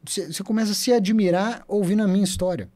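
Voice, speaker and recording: A talkative man in his thirties with a Minas Gerais accent, chatting easily as a podcast guest. His voice sits a bit high, and his tone stays mellow and relaxed. He tells his story in a lively, animated way. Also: quick pace. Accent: Minas Gerais Portuguese. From Brazil. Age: 30s. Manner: chatty, animated storyteller who loves to talk. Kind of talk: casual storytelling interview.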